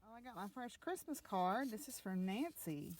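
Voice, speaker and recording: A woman's voice: mid-range voice, big pitch swings, moderate pace, a little hiss or hum. A woman chatting casually in a home vlog, rambling along with plenty of fillers. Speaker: vlogger.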